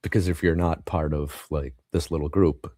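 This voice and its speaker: A man hosting a casual podcast interview, laid-back and agreeable, quick to laugh. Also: a bass voice, steady tone, dark tone, no room sound. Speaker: podcast host.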